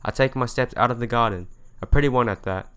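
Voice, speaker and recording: A man's voice: medium pitch, fast, fairly bright tone, clear, resonant tone. A man reading visual novel narration and dialogue aloud as a let's play host, stumbling over and rereading the odd phrase, with game music behind him.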